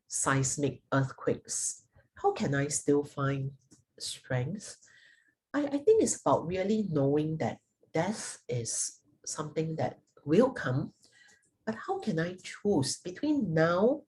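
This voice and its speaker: A middle-aged woman with a Singaporean accent giving a reflective personal talk, speaking deliberately and haltingly with thoughtful pauses. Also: sits low, a little mellow, husky, low-quality recording. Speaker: guest speaker sharing personal experience.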